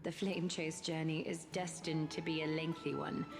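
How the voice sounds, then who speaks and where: Cool noblewoman, measured and elegant, gravelly texture, game voice. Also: low-pitched, little pitch movement, music in the background. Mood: calm. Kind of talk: video game voice acting.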